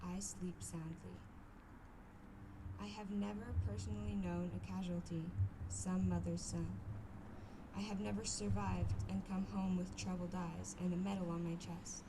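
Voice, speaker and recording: A young woman in her late teens giving a solemn poetry recitation in measured, deliberate phrases. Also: on the low side, level tone. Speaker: poem reader. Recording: noisy outdoor ambience.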